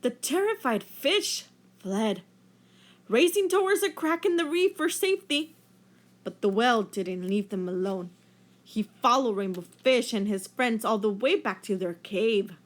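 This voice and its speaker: A woman teacher reading a children's picture book aloud in an expressive storytelling manner. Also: fairly high pitch, measured pace.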